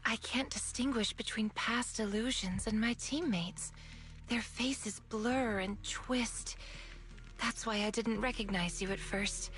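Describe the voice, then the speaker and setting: A gentle young woman in a story-driven game. Her voice sits a little high and comes out soft, earnest and measured. She sounds apologetic and sincere, with faint music underneath. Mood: calm, wistful. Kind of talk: video game story voice acting.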